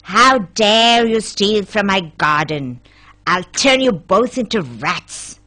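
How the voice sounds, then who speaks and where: A woman's voice: mid-range voice, animated, slow pace, pushed and bright. A woman voicing a wicked, angry old witch in a children's fairy tale, commanding and threatening. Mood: furious. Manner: screaming, commanding threats and calls. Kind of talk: storybook character voice.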